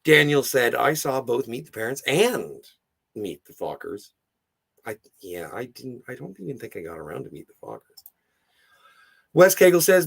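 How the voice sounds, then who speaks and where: A hoarse man hosting a casual livestream, rambling and easily sidetracked as he chats and reads out viewer comments. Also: medium pitch. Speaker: livestream host.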